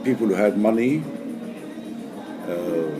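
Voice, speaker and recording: A man in his sixties, raised in Egypt, answering interview questions casually in halting English full of fillers and restarts. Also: fairly low pitch, low-quality recording. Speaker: poker player guest. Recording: music playing behind.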